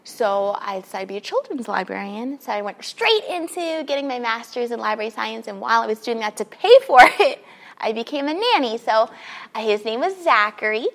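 A woman's voice: fairly high pitch, lively intonation, brisk, gravelly. A woman in her thirties from Queens, New York City, a children's librarian chatting as a podcast guest in an upbeat way and laughing often. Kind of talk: casual interview chat.

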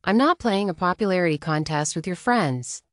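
A woman's voice: on the low side, lively intonation, normal speed, no room sound, studio quality, close to an everyday voice. A woman voicing a firm, self-possessed woman standing her ground in a dramatic reading of a text exchange. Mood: resolute. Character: firm, boundary-setting woman defending herself and friends.

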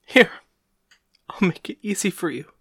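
A woman reading a story voices a guilt-ridden young gunslinger woman, speaking quietly and with resignation. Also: on the low side, expressive, measured pace, husky, no echo, studio quality, exaggerated voice. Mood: resigned.

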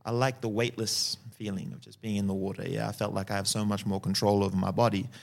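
A man in his early forties from Fiji, calmly telling his life story as a podcast guest and often ending sentences with "yeah?". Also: fairly low pitch, fast, gravelly. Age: early 40s. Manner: calm, tags sentences with "yeah?" and "right?". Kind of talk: personal storytelling in a podcast interview.

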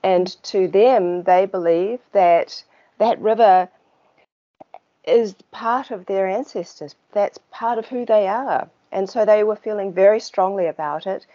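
A woman with a New Zealand accent, a podcast guest who talks in a relaxed, chatty way with small laughs and filler words. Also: medium pitch, measured pace. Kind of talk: casual conversational interview. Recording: dull lo-fi sound.

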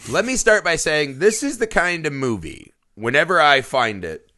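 A man hosting a casual film podcast, excitable and quick to laugh, with frequent restarts. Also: a little high. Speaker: podcast host.

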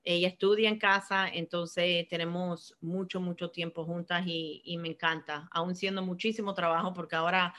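A woman's voice: fairly low pitch, steady tone, moderate pace, few pauses, a bit bright. A middle-aged woman, answering interview questions in an earnest, reflective way and often repeating words as she searches for the next one. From born in Cuba, raised in Miami. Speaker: interview guest, finance professional.

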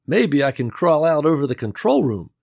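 A man's voice: fairly high pitch, sing-song, moderate pace, vocal fry, darkish tone, pitched above the performer's own voice, exaggerated voice. A man doing a jittery, eager young guy. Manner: earnest, anxious, self-deprecating. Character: nervous eager young man stuck in vent.

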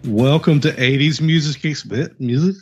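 A man's voice: on the high side, a little slow, a little mellow, slightly rough, highs cut off. A man with a Southern accent co-hosting a music podcast, playful and joking. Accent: Southern American. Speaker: podcast co-host. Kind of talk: casual chat.

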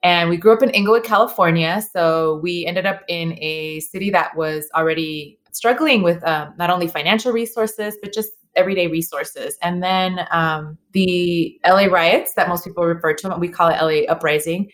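A woman in her thirties, from El Salvador and raised in Los Angeles, talks through her story as an interview guest. Her voice sits a little low, and she pauses, restarts and uses fillers as she thinks aloud. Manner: reflective, earnest, with frequent fillers and restarts. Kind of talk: conversational interview.

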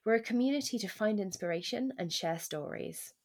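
A woman co-host reading a scripted podcast introduction with a smooth, even delivery. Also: mid-range voice, fairly quick.

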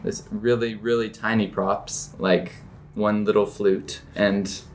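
Flat, steady-voiced young man. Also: fairly low pitch, slow, slight background noise. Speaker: student actor. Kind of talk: casual panel chat.

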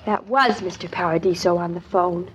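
An actress playing a spirited, impulsive young American wife in an expressive, chatty 1940s radio drama style. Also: female voice, on the low side, moderate pace, a little hiss or hum, close to an everyday voice. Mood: exasperated. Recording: dull old radio recording.

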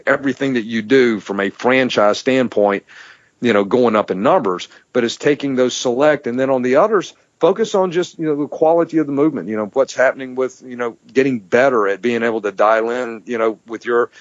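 A man's voice: medium pitch, brisk, dry recording, muffled recording. A man speaking as a strength coach and podcast guest in casual, rambling interview talk peppered with "you know".

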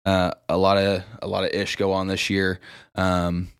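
A man's voice: low voice, monotone, normal speed, clean tone, no room sound, clean studio sound. A man co-hosting a sports podcast, talking casually and conversationally.

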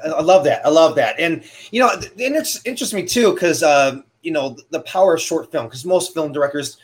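A man hosting an interview, asking questions with enthusiasm while halting often and stumbling over filler words. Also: fairly high pitch, expressive. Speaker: podcast host.

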